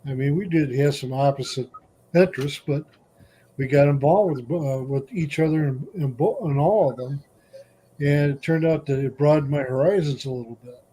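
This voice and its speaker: A man's voice: a little high, normal speed, dark tone, a little hiss or hum, low-quality recording. Encouraging man giving friendly advice. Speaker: group leader asking questions. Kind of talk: casual group chat.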